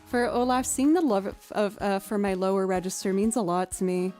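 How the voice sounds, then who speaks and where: Mellow-voiced woman chatting on stream. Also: fairly quick, soft background music. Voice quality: naturally low voice. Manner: chatty, thinking aloud, with fillers. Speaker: streamer and musician. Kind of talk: casual livestream chat.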